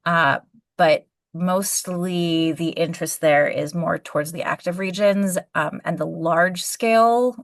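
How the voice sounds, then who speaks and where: A woman's voice: fairly low pitch, takes it slowly, no room sound, studio quality. A woman solar physicist answering a question in a webinar over a video call, thoughtful, with many ums and restarts.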